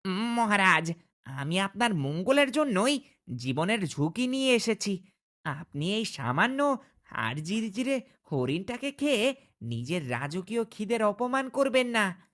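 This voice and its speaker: A woman voicing a clever, quick-witted fox in a cartoon, speaking in a persuasive, theatrical manner. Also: medium pitch, a bit bright. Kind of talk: cartoon voice acting.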